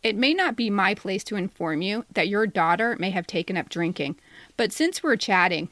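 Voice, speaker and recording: A woman's voice: mid-range voice, animated, normal speed, a bit bright. A woman in her fifties reading a personal satirical letter aloud in a wry, mock-polite way, as a podcast co-host. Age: 50s.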